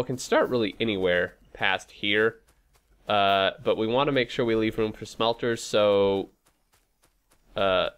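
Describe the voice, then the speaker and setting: A man's voice: on the low side, a bit bright, smooth. A man with a stuffy, head-cold voice giving casual gameplay commentary, thinking aloud in a hesitant, rambling way. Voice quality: stuffy, congested nose. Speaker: host of a gaming playthrough series.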